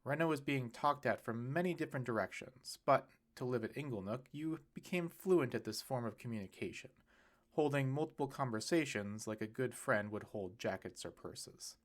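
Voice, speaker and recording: A man's voice: medium pitch, moderate pace. A man narrating a serialized novel aloud, lifting his voice for the characters' lines. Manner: lively reading with bright, raised voices for quoted characters. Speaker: audiobook narrator.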